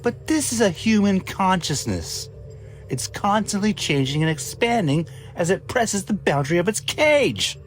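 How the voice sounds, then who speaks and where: Male actor as pompous scientist, callous, theatrical, highly varied pitch, radio drama, light music bed. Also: big pitch swings, unhurried. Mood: scornful, argumentative. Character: haughty, callous, excitable male scientist, contemptuous of children.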